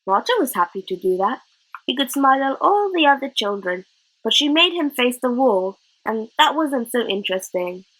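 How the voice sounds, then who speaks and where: Woman narrating a kids book, expressive, voice on the high side and kinda husky. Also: moderate pace, rough recording. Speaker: narrator reading a children's novel.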